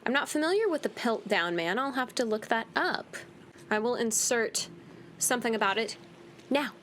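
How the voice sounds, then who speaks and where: Female game streamer, lightly high-pitched, irreverent and teasing, casual reactions over slight background noise. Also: expressive, normal speed, a bit bright. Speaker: gaming video host. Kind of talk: casual game playthrough commentary.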